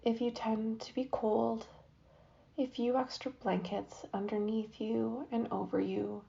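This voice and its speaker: A woman leads a guided relaxation, speaking very slowly in a soft, soothing manner with long, patient pauses. Her voice is mellow and warm at a middle pitch. The recording is a little dull and lo-fi. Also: level tone, gravelly. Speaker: yoga nidra guide. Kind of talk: guided meditation.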